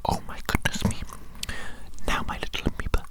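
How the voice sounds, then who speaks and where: A woman performing an ASMR roleplay as a grandiose, scheming evil scientist, whispering theatrically and repeating her phrases. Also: moderate pace. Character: grandiose evil mad scientist doctor, scheming and self-important.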